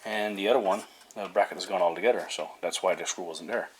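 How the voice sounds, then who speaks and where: Low-voiced man in a noisy recording. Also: quick pace. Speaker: hobbyist presenter of a radio repair video. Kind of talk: casual hands-on repair commentary. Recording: noisy home recording with ticking and clicks.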